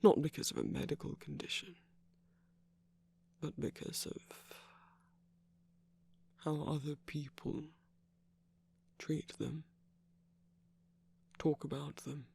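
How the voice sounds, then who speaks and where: A man playing an affectionate, concerned villain in an intimate ASMR roleplay, speaking softly and deliberately with a husky, breathy, creaky voice. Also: fairly high pitch, level tone, full character voice. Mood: earnest, careful. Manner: soft, deliberate, tender coaxing with whispered phrase endings. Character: affectionate, concerned male villain, slim and sickly.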